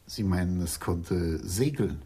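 An actor playing a probing, plain-spoken senior police commissioner in a German radio drama, direct and questioning in manner. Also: male voice, deep voice, takes it slowly, dark tone, slightly rough, heavily acted.

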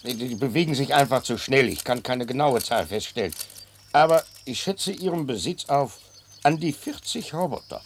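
A man's voice: mid-range voice, lively intonation, normal speed, close to an everyday voice. Man acting smug tax inspector, swooping intonation, noisy background. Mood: officious, smug. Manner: chatty, unctuous, bureaucratic. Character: smug, officious male tax inspector, falsely courteous. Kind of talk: German radio drama acting.

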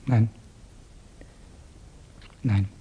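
An actor playing a guilt-tormented, brooding young Russian man with a gravelly, husky voice in a 1960s German radio drama. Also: lo-fi sound. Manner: brooding, halting, intense.

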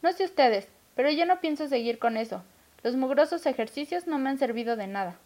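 A woman's voice: high voice, animated, fairly quick, very noisy. An actress with a Mexican accent playing an impatient, bossy older woman in a radio drama, blunt and sarcastic. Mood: irritated.

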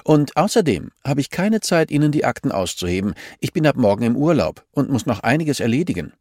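A man's voice: mid-range voice, sing-song, brisk, a little mellow, no room sound, voice pushed up. A shrewish grey-haired female archive clerk from an audiobook as a man acts her out. She is curt, grumpy and dismissive, snapping in a voice raised well above the reader's own. The sound is close and dry. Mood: curt, irritated.

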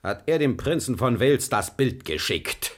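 A man's voice: mid-range voice, animated, normal speed, slight background noise, natural voice. An actor playing a tyrannical, choleric middle-aged Prussian king in a German radio play, with an imperious, blustering manner. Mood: scornful, threatening.

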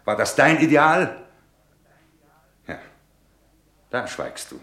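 Sarcastic, bitter husband, swinging delivery. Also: male voice, mid-range voice, big pitch swings, slow, pushed and bright, echoey room, heavily acted. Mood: accusing, agitated. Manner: sarcastic, rhetorical, theatrical delivery. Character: bitter, disillusioned businessman husband and father. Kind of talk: German radio drama acting.

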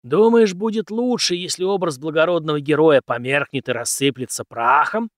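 A man's voice: on the high side, expressive, moderate pace, no echo, studio quality, lightly acted. Man voicing an emphatic, commanding old mentor. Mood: lecturing. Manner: emphatic, lecturing, with rhetorical questions. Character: stern cynical old male mentor, imposing and commanding. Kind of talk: audiobook character dialogue.

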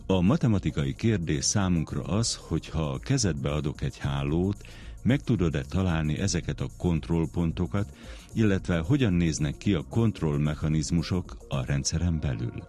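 Extremely deep male narrator over music. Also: animated, dark tone. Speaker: narrator of a science programme. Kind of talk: scripted documentary narration.

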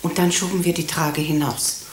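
Actress as a hesitant nurse, echoey room. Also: female voice, deep voice, steady tone, normal speed, lightly acted. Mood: hesitant, unsure. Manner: hesitant, careful. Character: hesitant, dutiful female hospital nurse under questioning. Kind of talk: German radio drama acting. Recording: roomy echo with faint hiss.

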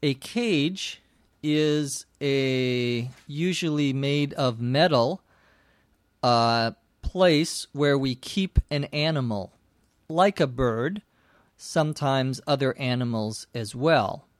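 A middle-aged man teaching English, telling a short story and then explaining words slowly and clearly, with dry little jokes. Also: mid-range voice, dry recording. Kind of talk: scripted story and slow vocabulary explanation.

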